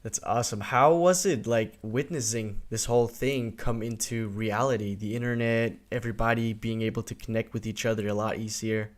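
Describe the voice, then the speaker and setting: A man's voice: on the low side, steady tone, moderate pace, hardly any pauses. A man in his twenties hosting a casual podcast interview, easygoing and agreeable, asking open questions. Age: 20s. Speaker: podcast host.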